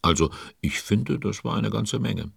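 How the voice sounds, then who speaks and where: A man's voice: lowish voice, expressive, measured pace, gravelly, breathy edge, no room sound, studio quality, heavily acted. A man narrating a children's audio story, chatty and speaking directly to young listeners.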